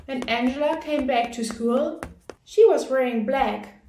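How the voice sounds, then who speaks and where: A woman reads a children's story aloud with careful expression. Her voice is high and her pace is unhurried and slow. The room around her sounds echoey. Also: dark tone, a little hiss or hum. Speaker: storybook reader. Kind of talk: scripted children's read-aloud narration.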